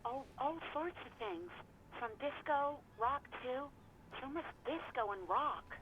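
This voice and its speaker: A woman's voice: high voice, animated, slow, lo-fi sound, background noise. An actress voicing a young woman barkeep in a game, talking on the phone, reproachful and hesitant.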